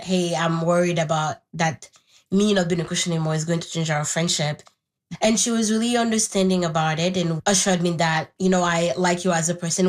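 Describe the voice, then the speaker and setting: A young adult woman from Haiti with a slightly low-pitched voice. She grew up speaking Haitian Creole. She talks as an interview guest, telling her own story in a thoughtful, candid way. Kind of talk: reflective personal storytelling in conversation.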